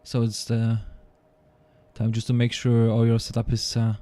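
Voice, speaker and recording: A man's voice: mid-range voice, monotone, warm tone. A man whose first language is Polish, commentating a sim racing session live, often repeating words and restarting sentences, with non-native English. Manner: halting, repeats words, apologetic. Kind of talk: live esports race commentary.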